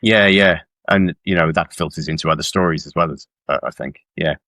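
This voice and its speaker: A man's voice: a bass voice, a little fast, pushed and bright, dry recording, clean studio sound. A middle-aged man from South Wales chatting informally as a guest author in an interview, with a self-deprecating manner. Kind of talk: casual interview chat about work.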